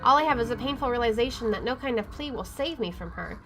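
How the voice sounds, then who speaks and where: High-voiced woman narrating a horror game. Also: lively intonation, quick pace. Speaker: gamer reading a visual novel aloud. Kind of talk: dramatic first-person narration of horror game text. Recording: faint game music behind the voice. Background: faint game music.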